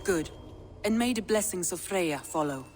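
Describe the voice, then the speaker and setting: Woman playing a low, composed Viking strategist, reverberant. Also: expressive, normal speed, background noise, natural voice. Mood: briefing. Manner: composed, formal, explanatory. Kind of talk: dramatic game dialogue.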